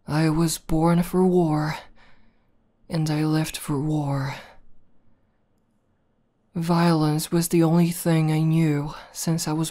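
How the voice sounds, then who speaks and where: Woman playing a low, slow-spoken demon girlfriend. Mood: solemn. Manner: soft, halting, tender delivery. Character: tough, devoted tomboy female war demon girlfriend. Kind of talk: intimate ASMR roleplay acting.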